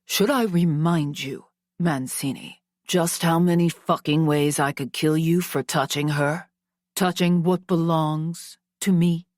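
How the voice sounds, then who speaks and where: A woman's voice: sits low, fairly even intonation, a little slow, a little mellow, no echo, studio quality. A woman doing a coldly dangerous powerful man. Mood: threatening. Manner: through clenched teeth, cold.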